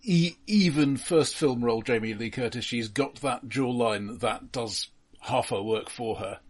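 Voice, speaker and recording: Man with a slightly husky voice, halting, analytical. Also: mid-range voice. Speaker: film podcast co-host. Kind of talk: casual film discussion.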